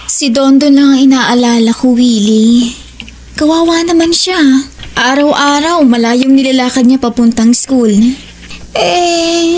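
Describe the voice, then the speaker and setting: Worried, caring wife and mother for horror audio drama, played by an actress; high female voice, slight background noise. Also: a little mellow, lightly acted.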